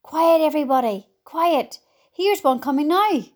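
A woman's voice: high-pitched, lively intonation, a little slow, pitched above the performer's own voice. A woman voicing a brave young boy in a storybook, urgent and commanding.

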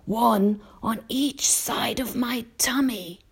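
A woman voicing a gentle, courteous old male grasshopper from a storybook, proud and old-fashioned in manner, lecturing without hurry. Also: on the high side, slow pace, slightly rough, pitched above the performer's own voice, exaggerated voice. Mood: gentle, teasing.

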